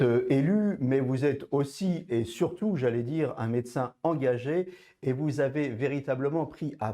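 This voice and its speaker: A man's voice: medium pitch, dark tone. A man working as a reporter, reading scripted narration and putting formal interview questions.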